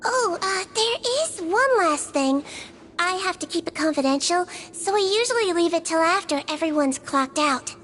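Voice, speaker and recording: A woman playing a small, gentle and earnest girlish nurse in a fantasy video game. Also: very high-pitched, animated, normal speed, a little hiss or hum. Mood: gentle, matter-of-fact. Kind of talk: scripted video game character dialogue. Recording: game music behind the voice.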